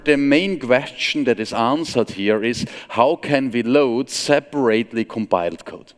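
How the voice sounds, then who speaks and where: A man in his forties from Austria with an Austrian German accent, giving a conference tech talk from a stage with a relaxed, wry, self-deprecating humor. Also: medium pitch. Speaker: conference speaker. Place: large conference hall stage. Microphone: headset mic. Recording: long hall reverb.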